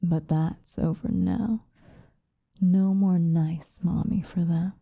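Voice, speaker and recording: A woman playing a centuries-old female vampire, possessive and vengeful, in a soft, breathy, intense ASMR roleplay. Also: on the low side, fairly even intonation, dry recording, clean studio sound, heavily acted. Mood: bitter, scornful anger. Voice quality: soft, breathy, often whispered. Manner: intense, dramatic, slow with long pauses.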